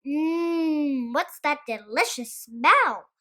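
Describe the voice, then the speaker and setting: A woman's voice: high-pitched, lively intonation, drawn-out words, fairly bright tone, exaggerated voice. A woman voicing a hungry female cow who asks politely, as in a children's storybook. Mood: hungry pleading.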